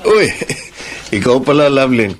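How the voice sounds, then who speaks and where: A man acting a wayward husband and father in a Filipino radio drama, earnest and expressive. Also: medium pitch, unhurried, a little hiss or hum. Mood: delighted.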